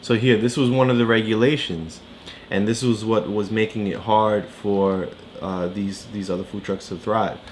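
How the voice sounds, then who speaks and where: A man's voice: lowish voice, steady tone, normal speed, slight background noise. A man explaining a story casually as a reading tutor, prompting with questions as he goes. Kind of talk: casual explaining during a read-along lesson.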